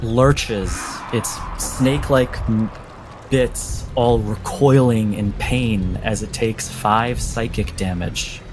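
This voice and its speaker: A low male voice, very unhurried, with music playing clearly behind it. He is a game master narrating horror in a deliberate, suspenseful way, breaking sentences into short dramatic pieces. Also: steady tone. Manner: deliberate, suspenseful, with broken phrasing.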